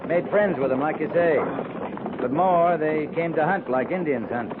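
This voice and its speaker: An American actor playing a seasoned, terse US Army cavalry captain in a 1950s radio western, speaking with clipped authority. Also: male voice, a little high, normal speed, natural voice. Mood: grave, measured. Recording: tinny, very noisy 1950s radio recording.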